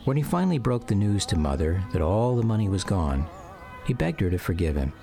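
A man narrating a scripted first-person memoir for a radio drama in a measured storytelling manner. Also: low voice, sing-song, gravelly. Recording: music bed under the voice.

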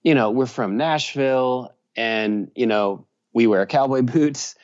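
A man's voice: mid-range voice, unhurried, lo-fi sound. A man from Virginia, a musician and journalist, giving rambling casual interview answers full of fillers and restarts.

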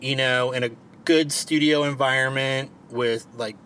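A man's voice: medium pitch, fairly even intonation, unhurried, pushed and bright, a little hiss or hum, poor audio. A man with a Baltimore accent hosting a casual podcast, joking and swearing freely. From Maryland. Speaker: podcast host. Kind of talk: casual chat. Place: parked car. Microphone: home podcast mic.

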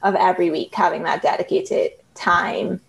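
A woman's voice: fairly low pitch, fairly even intonation, moderate pace, gravelly, a little hiss or hum. A woman from Trinidad with a Trinidadian accent, a graduate researcher telling her fieldwork story in a reflective, articulate way. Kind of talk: conversational interview storytelling.

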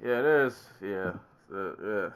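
A man's voice: deep voice, fairly even intonation, a little slow, smooth. A man hosting a casual podcast while congested with a summer cold, laughing and coughing often. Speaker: podcast host.

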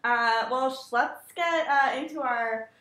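A woman's voice: sits high, takes it slowly, pushed and bright. A young woman hosting a podcast in casual chat, rambling with many fillers. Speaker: podcast host. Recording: roomy room echo.

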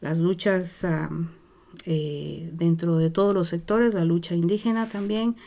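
An older woman with a Guatemalan Spanish accent speaks in a fairly low voice. She tells her story slowly and thoughtfully, pausing, restarting and searching for words as she goes. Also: fairly even intonation, gravelly, mellow. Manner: slow and searching, many hesitations and restarts. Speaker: interview guest. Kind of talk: reflective personal storytelling.

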